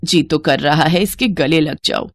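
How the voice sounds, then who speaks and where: A scheming middle-aged stepmother, played by a woman narrator in a moral story. She speaks low, coy and insinuating, with shameless desire. The sound is dry, with no room to it. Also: moderate pace, dry recording. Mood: smitten. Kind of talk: Hindi moral-story cartoon voice acting.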